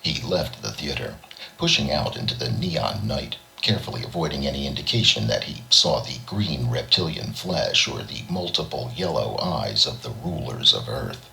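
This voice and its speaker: Extremely deep-voiced, gravelly male narrator. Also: expressive, moderate pace, sharp tone, slight background noise, low-quality recording. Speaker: audiobook reader. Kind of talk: dramatic short story narration.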